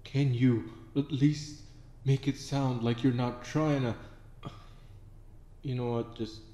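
A man's voice: medium pitch, fairly even intonation, slow pace, mellow, echoey room, in a large hall, natural voice. A man voicing the frantic inner thoughts of a shy male student, exasperated and sarcastic, in an audio roleplay. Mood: self-scolding. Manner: exasperated, outburst-prone, sarcastic.

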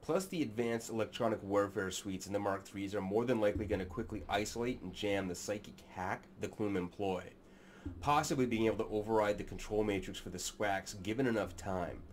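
A man's voice: fairly low pitch, slight background noise. A man in his thirties hosting a sci-fi channel, seated in a small room and talking to his webcam with sarcastic, crude, mock-serious commentary. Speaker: sci-fi narration channel host. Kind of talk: sardonic commentary on camera.

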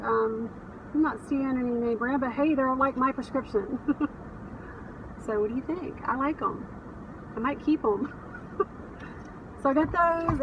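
A bubbly woman in her forties chats excitedly about her thrift finds, laughing as she goes. Her voice sits on the higher side. It comes from a phone held a little away, with steady noise and the hum of a car around her. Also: distant mic, dull audio. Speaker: reseller vlogger. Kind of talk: casual chatty vlog. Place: parked car, later a dim room at home. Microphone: phone camera at arm's length. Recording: phone mic held a bit away, noisy.

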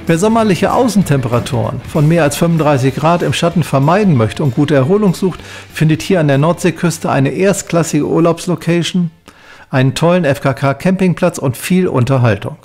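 A man narrates a travel vlog in a scripted voice-over. His voice sits somewhat higher than most men's. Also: animated, brisk, darkish tone, faint music behind.

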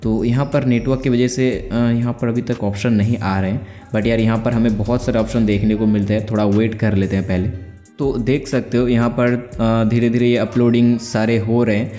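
Young male tech host, informal tutorial delivery, mellow tone, echoey room with faint music. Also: mid-range voice, level tone, a little fast. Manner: informal, conversational, explains step by step. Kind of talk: casual tutorial voice-over.

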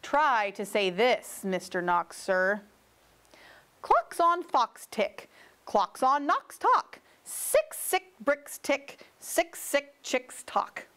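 A woman's voice: high voice, big pitch swings, extremely slow, a bit bright, exaggerated voice. A woman voicing a playful, insistent male storybook fox who coaxes with tongue-twisting patter. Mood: coaxing, playful.

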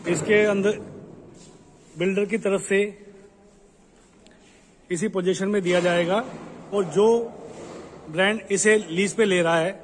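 A man giving a slow, explanatory walkthrough tour of an unfinished commercial building, pointing out each floor. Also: high-pitched. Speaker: property dealer giving a site tour. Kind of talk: walkthrough property tour narration. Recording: echoey, roomy building with ambient noise.

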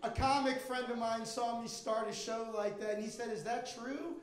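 Stand-up comic, male, middle-aged, American, very high-pitched, roomy club sound with audience. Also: steady tone, normal speed. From Miami, Florida, United States. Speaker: stand-up comedian and magician. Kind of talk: stand-up comedy routine. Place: indoor comedy club stage. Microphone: handheld microphone. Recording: echoey comedy club with audience. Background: live audience.

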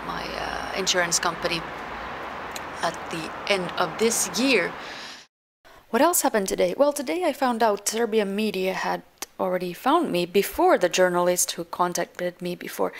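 A woman in her thirties with a Finland-Swedish accent vlogs outdoors on an apartment balcony. She talks straight into a close camera, blunt and rambling, and swears now and then. Steady street noise and passing traffic hum clearly behind her voice. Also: fairly low pitch, moderate pace. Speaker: vlogger. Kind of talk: casual talking-head vlog. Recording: noisy outdoor street ambience.

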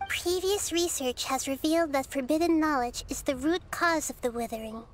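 A woman voicing a wise, gentle, childlike little goddess in an anime-style game, calmly explaining. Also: high voice, normal speed, slight background noise, natural voice. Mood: solemn. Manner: calm, explanatory.

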